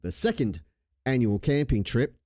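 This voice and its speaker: A man's voice: medium pitch, big pitch swings, normal speed, a little mellow, no room sound, studio quality. An Australian man hosting a casual livestream, laid-back, jokey and sweary, getting over a chest infection. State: recovering from a chest infection with a lingering cough. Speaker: livestream host.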